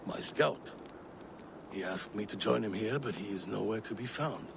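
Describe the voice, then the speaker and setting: A gravelly, paranoid Viking king. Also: lowish voice, moderate pace, background noise. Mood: commanding. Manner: suspicious, erupting into furious outbursts. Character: volatile paranoid Viking king, grizzled and proud. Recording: game cutscene audio with score, long reverb.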